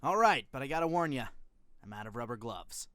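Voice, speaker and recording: A man's voice: mid-range voice, very animated, a little fast, a crowd in the background, natural voice. A man voicing a cocky, wisecracking teenage boy fighter in an anime parody, brash and teasing.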